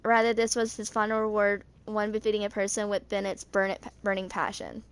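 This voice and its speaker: A woman in her twenties reading character lore aloud, haltingly, stumbling over words now and then. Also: medium pitch, level tone, slight background noise. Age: 20s. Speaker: video creator.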